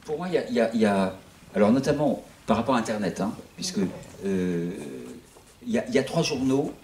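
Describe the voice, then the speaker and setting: A man's voice: medium pitch, expressive, fairly quick, darkish tone, a little hiss or hum. A man in his sixties running an online news outlet, talking casually to a small audience in a rambling way, with many restarts. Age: 60s. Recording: echoey room, voice from far off.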